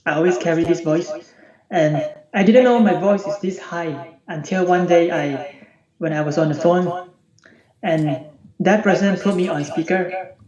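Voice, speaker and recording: A man with a high-pitched, youthful, unbroken voice, recounting his situation in a repetitive, conversational way as a client on an online call. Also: measured pace. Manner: repetitive, says "you know" often. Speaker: client, accountant. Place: remote video call. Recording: echoey, muffled online call with background chatter.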